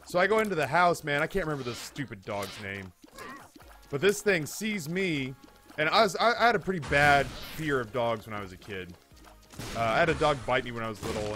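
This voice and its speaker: A man, telling a comedic story casually in an animated, hyperbolic, profane way as a streamer. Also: mid-range voice. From United States. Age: 30s. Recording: music behind the voice. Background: background music.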